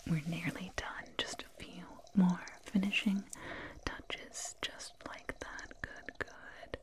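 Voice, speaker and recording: A woman playing a soothing, proud alien dentist in an ASMR roleplay, whispering gently and repetitively up close. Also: on the low side, flat delivery, slow. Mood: soothing, focused. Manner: gentle, repetitive, reassuring murmur. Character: soothing, proud alien dentist with eerie delight in sharp teeth. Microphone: binaural mic, up close. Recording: close binaural mic, clear noise.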